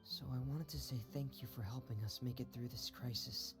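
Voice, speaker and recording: An actor playing a shy, earnest young man in a video game, speaking softly and solemnly. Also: medium pitch, natural voice. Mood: grateful. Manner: soft, measured, solemn storytelling. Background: game soundtrack music.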